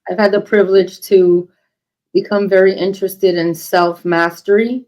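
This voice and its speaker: A woman in her forties from New York, a podcast guest speaking in a calm, measured, reflective way. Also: medium pitch. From New York City, raised in northern New Jersey. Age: 40s. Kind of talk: casual podcast chat.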